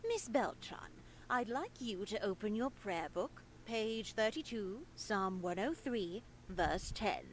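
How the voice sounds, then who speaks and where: Slow, measured, pious nun. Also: female voice, mid-range voice, animated, a little hiss or hum. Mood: composed, strict. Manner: measured, formal, sanctimonious. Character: stern, pious, controlling nun teacher. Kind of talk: visual novel voice acting.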